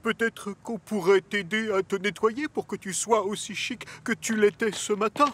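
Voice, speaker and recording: An actor voicing a hesitant, apologetic visiting photographer in a dubbed children's cartoon. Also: male voice, high voice, steady tone, moderate pace, fairly bright tone, husky.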